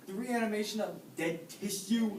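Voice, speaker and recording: A man playing an earnest, persistent student in stage comedy, with a halting but insistent manner. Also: high voice, noisy background, close to an everyday voice. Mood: earnest questioning. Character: earnest, persistent student challenging his professor. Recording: roomy, distant microphone.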